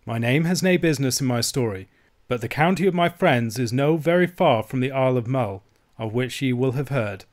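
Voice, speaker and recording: Casting: male narrator as proud Highland gentleman, Scottish accent, assured, stubborn, drily polite storybook adventurer. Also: lightly acted. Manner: bold, dryly courteous, assured.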